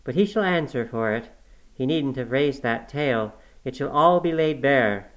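A man's voice: medium pitch, lively intonation, normal speed, close to an everyday voice, low-quality recording. A stern elderly woman servant in a classic novel, played by a male narrator. She is passionate and scolding, speaking with emphatic, reproachful indignation. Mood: indignant. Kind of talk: audiobook character acting.

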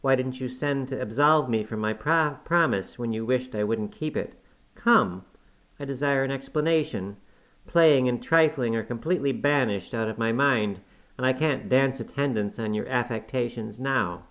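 A man voicing a proud, quick-tempered young woman who scolds sharply. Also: medium pitch, expressive, fairly bright tone. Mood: indignant.

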